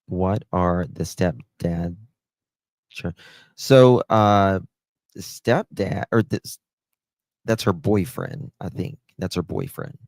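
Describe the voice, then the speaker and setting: A middle-aged man with a Southern US accent, hosting a casual livestream into a close desk mic in a halting, unhurried way. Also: low-pitched, darkish tone, dry recording. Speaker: livestream host. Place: small room at home, indoors. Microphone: close desk microphone.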